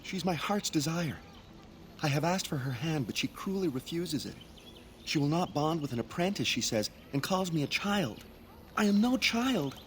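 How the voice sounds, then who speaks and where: A young elf hunter apprentice in a fantasy video game, earnest and lovesick. His voice sits fairly high and moves around a lot, with timid, stammering delivery. Some background noise and outdoor ambience with birds sit behind him. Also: big pitch swings, normal speed. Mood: frustrated, miserable. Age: young adult. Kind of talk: dramatic game dialogue.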